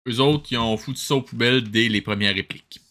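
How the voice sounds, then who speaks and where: A man's voice: mid-range voice, fast, pushed and bright. A man hosting a comedic review, giving casual, sarcastic commentary. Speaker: host of a comedic cartoon review show.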